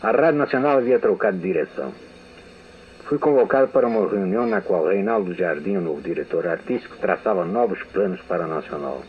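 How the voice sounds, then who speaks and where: Older male radio veteran, measured reflective delivery; thin phone-like archival sound. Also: sits low, animated. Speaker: radio writer giving a testimony. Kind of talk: memoir reading. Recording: tinny lo-fi archival audio with hiss.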